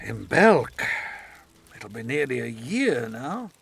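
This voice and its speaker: An actor playing a grieving, bitter elf in a fantasy video game, earnest and anguished, with a creaky voice. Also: male voice, mid-range voice, big pitch swings, slow, a bit bright, slight background noise, exaggerated voice.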